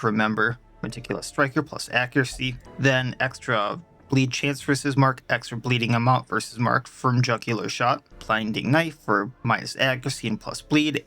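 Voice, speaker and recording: A man's voice: medium pitch, sharp tone. A man with a stuffed-up nose and a sore throat, chatting casually as he plays and explains his party's stats at length. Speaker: let's play host. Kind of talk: casual gameplay commentary.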